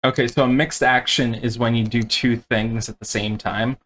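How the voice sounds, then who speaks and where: A man acting as game master, explaining rules and narrating tabletop play in a halting, unscripted way. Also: medium pitch, little pitch movement, a bit bright, slight background noise.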